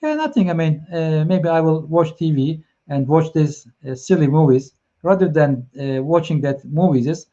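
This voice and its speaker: A man's voice: fairly high pitch, expressive, moderate pace, hardly any pauses, mellow, dull audio. A man from Turkey with a Turkish accent, hosting a live stream talk in English, hesitant with many fillers and restarts as he encourages his viewers. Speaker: host.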